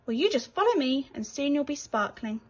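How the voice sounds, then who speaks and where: Woman voicing a pompous, fussy storybook rat gentleman. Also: high-pitched, sing-song, voice pushed up. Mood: kindly, brisk. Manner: haughty, chatty, fastidious. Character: pompous, fussy, vain but kindly male rat.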